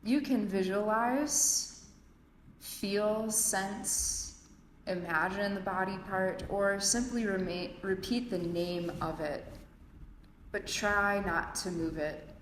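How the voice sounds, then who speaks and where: Female meditation guide, calm and very unhurried, measured phrasing, live in an echoey studio with faint noise. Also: mid-range voice. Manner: calm, measured, with long pauses between phrases. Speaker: yoga nidra instructor. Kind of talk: guided meditation, spoken instructions. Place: indoor urban yoga studio, recorded live. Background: faint ambient room and city noise.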